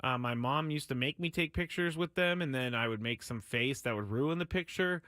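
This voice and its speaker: A man's voice: medium pitch, moderate pace, pushed and bright, clear, resonant tone, dry recording. A man hosting a sports talk radio show, speaking in a casual, candid, self-deprecating monologue. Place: radio studio.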